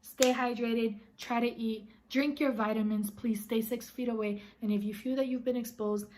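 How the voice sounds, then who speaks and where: Slightly raspy woman vlogger, encouraging. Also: a little high, fairly even intonation, normal speed, darkish tone, low-quality recording. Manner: earnest, encouraging, addresses viewers as guys. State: hoarse, recovering from illness. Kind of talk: casual advice vlog.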